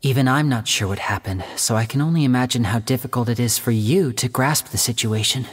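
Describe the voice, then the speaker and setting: An actor playing a polite, earnest young male stage magician in a video game, speaking in a courteous, measured and gently theatrical way. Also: medium pitch, slightly rough, lightly acted. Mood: troubled, earnest.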